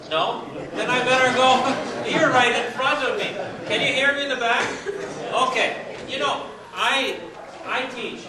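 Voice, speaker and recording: Middle-aged male speaker, chatty with the audience, distant PA recording in a very echoey hall. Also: high-pitched. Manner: conversational, checks in with audience, many fillers. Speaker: speaker at a charity event. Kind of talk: informal speech to an audience. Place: event hall.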